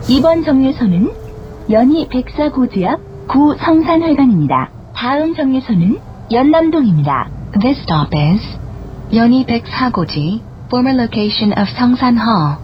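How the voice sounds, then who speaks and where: A woman reading scripted bus stop announcements in a formal, measured, carefully enunciated way. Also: on the high side, sing-song. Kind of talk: scripted public transit announcement. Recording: dull, noisy bus speaker capture. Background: bus engine and cabin noise.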